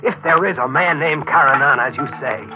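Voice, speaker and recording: An actor playing an earnest, tense newspaper reporter, clipped and dramatic in 1940s radio style. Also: male voice, a little high, a little fast, lightly acted. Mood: determined. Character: earnest, tense young-sounding male newspaper reporter hero. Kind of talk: 1940s radio acting and first-person narration. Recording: tinny old radio broadcast, music behind.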